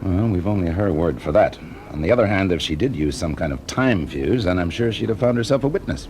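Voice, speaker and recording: Low-voiced, wry British investigator. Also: male voice, expressive, darkish tone. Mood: wry, brisk. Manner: brisk, urbane, interrogating. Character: confident, wry male fire investigator, shrewd and teasing. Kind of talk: British radio drama acting. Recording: noisy classic radio drama recording.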